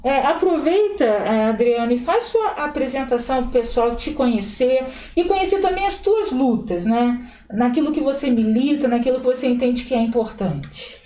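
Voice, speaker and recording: A woman's voice: high-pitched, normal speed, few pauses, echoey room, slight background noise. A woman from Rio de Janeiro hosting an interview, telling stories expressively. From Brazil, Rio de Janeiro. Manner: expressive, animated storytelling. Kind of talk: conversational interview.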